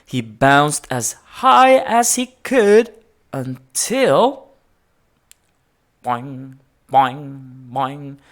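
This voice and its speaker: A woman with a low voice narrates a children's picture book. She takes her time, and her pitch moves in wide, lively swings. Key words get dramatic emphasis. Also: drawn-out words, pauses often. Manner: expressive, dramatic emphasis, sound-effect words. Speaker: storybook reader. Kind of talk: read-aloud children's story narration.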